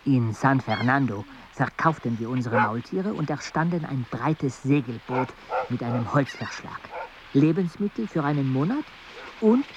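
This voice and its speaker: A man's voice: mid-range voice, expressive, moderate pace, heavy background noise, natural voice. An actor playing a dry, sardonic male botanist narrating an expedition in a radio drama. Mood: measured narration. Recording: dull sound over loud outdoor ambience.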